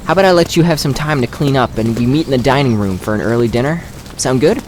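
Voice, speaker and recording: A man's voice: medium pitch, expressive, fast talker, a little mellow, slight background noise, close to an everyday voice. A man playing a considerate, guarded young man in an audio drama, speaking politely and with restraint. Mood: caring, reassuring.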